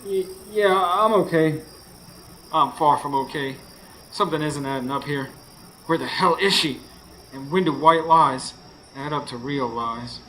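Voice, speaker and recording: An actor playing an anxious husband who narrates a supernatural horror radio play with intense, dramatic delivery, recorded live on stage. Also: male voice, fairly high pitch, unhurried, pushed and bright, a little hiss or hum, poor audio. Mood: somber, uneasy. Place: stage before a live studio audience.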